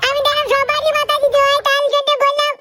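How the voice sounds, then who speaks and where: A very high, childish cartoon voice of a little schoolboy. He is stubborn and cheeky, argues back and pleads in a whine. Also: monotone, fairly quick, bright, forceful tone. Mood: protesting. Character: cheeky, whiny little boy pupil, muddled and stubborn. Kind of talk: Bengali comedy cartoon dialogue.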